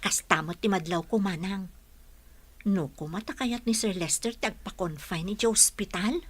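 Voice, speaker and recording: A woman playing a cheeky, dutiful young caregiver in a radio comedy drama, brisk and playful, with faint noise behind her. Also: mid-range voice, husky, natural voice. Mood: gossipy.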